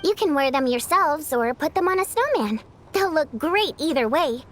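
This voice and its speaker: A woman's voice: sits high, lively intonation, moderate pace. A woman voicing a spirited young stage performer in a video game, theatrical, teasing and affectionately bossy. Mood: warm.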